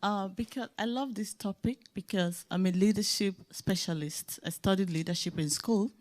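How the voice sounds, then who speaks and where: A woman's voice: mid-range voice, moderate pace, darkish tone. A woman with a Nigerian accent, a leadership specialist speaking emphatically on a conference panel. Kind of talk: panel discussion remarks.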